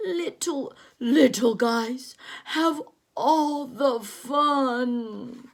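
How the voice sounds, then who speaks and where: A woman putting on a slow, hushed lonely whale. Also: high voice, exaggerated voice. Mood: wistful. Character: huge lonely gentle male whale. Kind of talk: children's picture book read-aloud.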